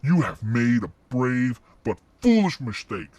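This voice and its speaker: A man's voice: mid-range voice, sing-song, measured pace, a little mellow, no echo, muffled recording, voice pushed down. A hulking giant villain, put on by a male narrator, growling and grave. The voice drops well below his normal talk, threatening and dryly recorded, in audiobook style. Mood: menacing. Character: massive, menacing axe-wielding giant villain. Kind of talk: fan fiction audiobook character voice.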